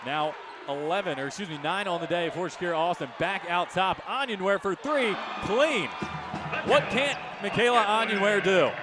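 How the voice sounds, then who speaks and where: A man doing live radio basketball play-by-play, projecting excited, rapid-fire calls. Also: sits high. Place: courtside in a basketball arena. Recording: loud arena crowd noise behind. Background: crowd, bouncing ball.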